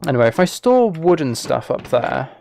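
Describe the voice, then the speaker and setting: A man with a noticeably creaky, gravelly voice at an average male pitch streams a game live. He talks casually to his viewers and thinks out loud as he plays. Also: sing-song, moderate pace, slight background noise. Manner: thinks aloud, chatting with viewers.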